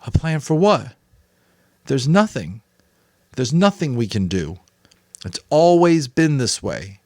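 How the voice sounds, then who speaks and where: A man's voice: mid-range voice, very animated, slow pace, darkish tone, no room sound, pitched above the performer's own voice. A man voicing a distraught, bitterly defiant adolescent boy in a dramatic audiobook reading. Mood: despairing. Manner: tearful, sarcastic, spitting out questions.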